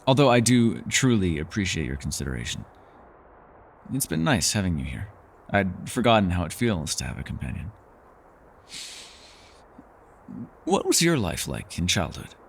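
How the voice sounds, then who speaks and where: Male actor as ancient hermit elf scholar: low, very expressive, formal, gently teasing, secretive; intimate fantasy roleplay. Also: creaky, slight background noise, full character voice. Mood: reluctant, grateful. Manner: measured, formal phrasing, hesitant and softly teasing. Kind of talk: fantasy ASMR roleplay acting, intimate one-sided dialogue.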